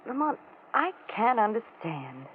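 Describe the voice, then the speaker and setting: A woman playing a concerned, quick-witted lady companion to a sleuth in a 1940s radio mystery. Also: fairly high pitch, very animated, breathy edge, heavily acted. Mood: puzzled, concerned. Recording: muffled, hissy old-time radio recording.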